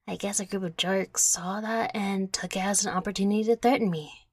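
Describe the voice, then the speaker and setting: Woman acting feisty husky young fairy. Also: medium pitch, steady tone, a little fast, no room sound, natural voice. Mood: indignant, boastful. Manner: brash, sarcastic, boastful. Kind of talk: acted audio roleplay.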